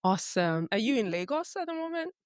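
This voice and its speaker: A woman hosting a business interview podcast, reading a measured scripted intro and then chatting in a friendly, easy way with her guest. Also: a little high, sing-song, no room sound. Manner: measured, friendly questioning with light laughs. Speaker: podcast host. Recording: dry close sound with faint music under intro.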